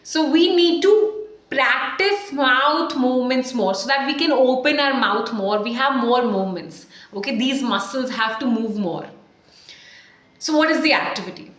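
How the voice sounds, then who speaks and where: A woman in her thirties with an Indian accent, coaching viewers on camera in an emphatic, animated way with questions to the viewer. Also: high voice, unhurried. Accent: Indian English. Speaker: communication coach. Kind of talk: to-camera tutorial. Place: small tiled room, indoors, at a desk. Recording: echoey small room.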